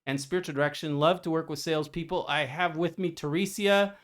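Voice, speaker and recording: A man's voice: fairly high pitch, steady tone, a little fast, dry recording, clean studio sound. A man hosting a podcast interview, chatty and rambling, often restarting his sentences. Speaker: podcast host and coach. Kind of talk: casual interview.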